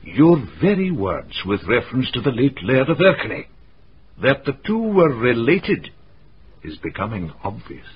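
An actor playing a wordy, precise, observant gentleman in a radio drama. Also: medium pitch, very animated, measured pace, breathy edge, heavily acted. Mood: musing. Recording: muffled radio recording.

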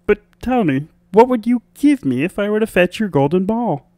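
A man's voice: high voice, lively intonation, moderate pace, mellow, dry recording, clean studio sound, voice pushed up. A man voicing a small male frog in a fairy tale, courteous, pleading and insistent. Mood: bargaining. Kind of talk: storybook character voice.

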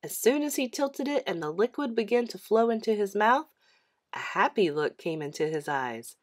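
A woman reads a children's story aloud as narrator. Her mid-pitched voice moves expressively up and down through the sentences. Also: lively intonation, moderate pace.

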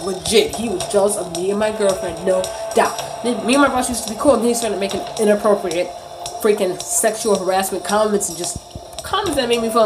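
A woman in her thirties telling a story as a casual, slangy rant to camera, indignant and emphatic, on a phone held at arm's length in a bedroom. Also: medium pitch. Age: 30s. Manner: indignant, emphatic, slangy venting with mimicked quotes. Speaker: vlogger. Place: small bedroom, indoors.

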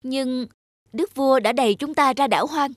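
A woman's voice: high-pitched, moderate pace, no room sound, clean studio sound, pitched above the performer's own voice. A woman voicing an anxious young wife and mother, plaintive and worried, in a cartoon fairy tale.

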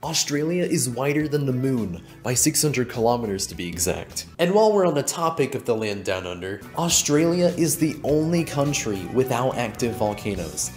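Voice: medium pitch, expressive, moderate pace, few pauses, darkish tone, faint music behind. A presenter reading a light, wry fun facts segment as scripted voice-over.

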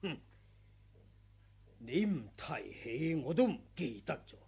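A man's voice: fairly high pitch, sing-song, slow pace, creaky, darkish tone, slightly rough, full character voice. An actor playing a scheming older martial arts senior, smug, pompous and menacing, taunting his rival in a radio drama. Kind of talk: Cantonese radio drama villain acting.

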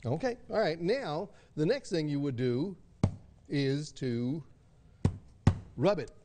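A man's voice: fairly high pitch, expressive, slow, background noise. An older man hosting a TV cooking segment, chatting casually with a guest in a folksy, jocular way. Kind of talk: casual on-air interview. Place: TV studio kitchen set.

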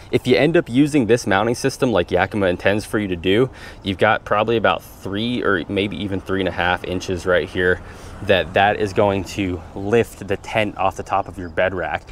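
Low-pitched male vlogger, methodical and practical how-to delivery, recorded outdoors with faint background noise. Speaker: vlogger showing his truck build. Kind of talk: casual how-to explanation.

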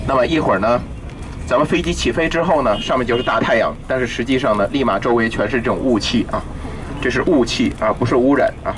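A man's voice: medium pitch, fast talker, noisy background. A man giving explanatory tour commentary to a group as their guide, often punctuating his phrases with little filler sounds. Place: in a vehicle moving through city traffic.

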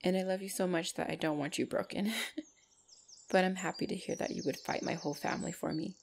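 A loving orc girlfriend, played by a woman, speaks in an intimate ASMR roleplay. Her voice sits a little low, with a crackly fry. She is tender and hesitant, bashful yet protective of her sweetheart. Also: steady tone, a little fast. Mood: affectionate, content. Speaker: voice actor in audio roleplay.

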